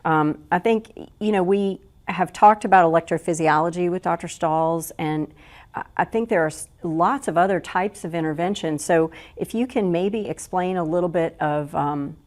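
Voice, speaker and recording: A woman hosting a health talk show, giving measured introductions and gently prompting her guest with questions. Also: lowish voice.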